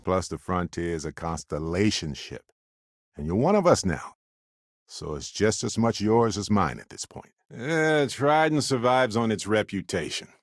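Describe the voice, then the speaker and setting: An actor playing a wry, good-humored space explorer companion in a video game, talking in an expressive, conversational way. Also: male voice, fairly low pitch, moderate pace, dry recording, studio quality. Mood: sincere. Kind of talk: video game character voice lines.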